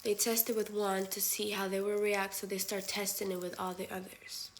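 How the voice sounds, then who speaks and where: A woman's voice: medium pitch, flat delivery, normal speed. A woman who grew up in Spain, hosting a livestream and chatting with viewers in a candid, blunt, profane way, slipping Spanish phrases into her talk. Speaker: livestream host. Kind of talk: casual live chat with viewers.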